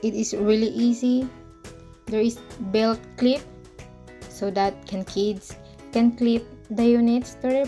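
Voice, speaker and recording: A woman presenting a product demonstration for an online video in halting, non-native English phrasing. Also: a little high, warm tone. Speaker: product reviewer and parent presenting to camera. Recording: music playing behind the voice.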